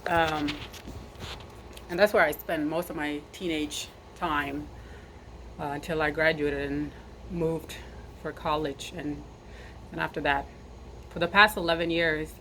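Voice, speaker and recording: A woman in her thirties from South Sudan, a nurse, speaking earnestly to camera outdoors in the wind, measured and repeating phrases for emphasis. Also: deep voice. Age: 30s. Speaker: nurse and army veteran sharing her story. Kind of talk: personal address to camera. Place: outdoors, windy. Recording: noisy outdoor recording with wind.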